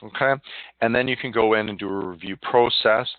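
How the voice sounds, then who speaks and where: A man giving a live online software demonstration, explaining informally and haltingly over a conference call. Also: fairly low pitch, no echo. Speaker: software demo presenter. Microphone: phone or web conference call. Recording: narrow phone-like line.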